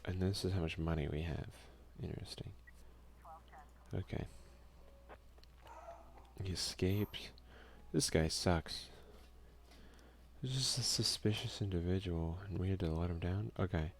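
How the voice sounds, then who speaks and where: A soft-spoken man doing an ASMR let's play, reading game text aloud and commenting in a hushed voice that at times slips into a whisper. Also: deep voice, unhurried, long pauses. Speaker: gaming video creator and player.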